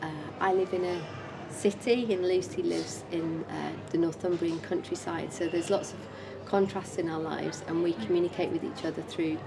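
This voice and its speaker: A woman artist explaining her work informally in a measured, hesitant manner. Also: fairly low pitch, steady tone. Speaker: artist presenting own work. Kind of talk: informal exhibition talk about own artwork. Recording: clear background noise and room ambience.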